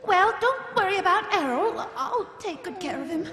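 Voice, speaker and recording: A woman playing a brisk, blunt upper-class lady animal keeper in a live audio drama. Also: very high-pitched, animated, pushed and bright, heavily acted. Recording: echoey live hall, music behind.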